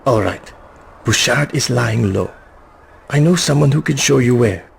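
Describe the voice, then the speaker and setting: A nervous informant with a creaky, animated voice. He sounds defensive and hesitant, always trying to placate, in a video game scene with faint noise behind. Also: medium pitch, a little slow. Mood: placating. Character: nervous, shifty male informant, eager to placate. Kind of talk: video game cutscene voice acting.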